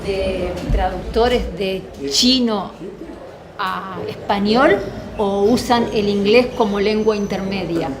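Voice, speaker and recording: A woman's voice: medium pitch, takes it slowly. Woman asking questions in an echoey hall. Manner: brief questions and interjections. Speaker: audience member. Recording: echoey hall, loud noise.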